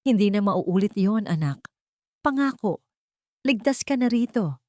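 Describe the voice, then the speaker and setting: A woman's voice: medium pitch, expressive, a little slow, no room sound, studio quality, pitched above the performer's own voice, close to an everyday voice. Woman voicing an earnest, protective older father. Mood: reassuring. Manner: earnest, soft-spoken. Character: regretful, protective rich older father, gentle but resolute.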